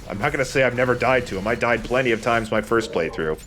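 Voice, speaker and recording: A man's voice: mid-range voice, fast, a bit bright, faint music behind. A man doing informal, opinionated commentary over a video game as a let's player.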